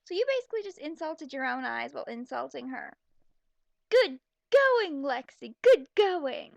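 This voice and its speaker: A young woman with a high-pitched voice and plenty of fry reads a story aloud and picks it apart as she goes. Her manner is sarcastic, blunt and exasperated. The sound is close and dry. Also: big pitch swings, moderate pace, no room sound, lo-fi sound. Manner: exasperated, mocking, blunt asides. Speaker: commentator reading fan fiction aloud. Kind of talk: sarcastic reading with running commentary.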